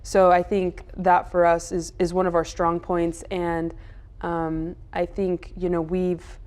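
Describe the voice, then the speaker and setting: Low-pitched American woman, a soccer coach interviewed. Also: monotone, takes it slowly, darkish tone. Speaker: college women's soccer head coach. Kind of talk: sports recap interview.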